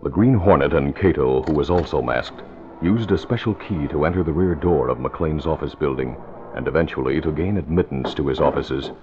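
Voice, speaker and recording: Low-pitched male radio drama narrator. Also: normal speed, darkish tone, soft background music. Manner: formal, dramatic. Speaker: narrator and announcer. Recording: dull old radio recording.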